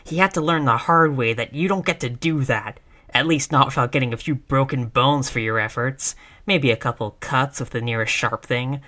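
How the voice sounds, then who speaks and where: A woman's voice: a bass voice, moderate pace, fairly bright tone. A woman playing a tough, reckless girlfriend in a roleplay audio, brash but affectionate, with casual, sweary bravado. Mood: cocky, defiant. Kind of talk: intimate roleplay audio acting.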